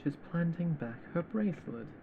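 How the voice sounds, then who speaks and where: A man playing a possessive captor in an ASMR roleplay, making terse, cynical, musing commentary in a creaky voice. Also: fairly high pitch, expressive, normal speed, narrow, tinny audio, background noise, close to an everyday voice. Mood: dry, musing. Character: possessive male captor drily commenting on an old film.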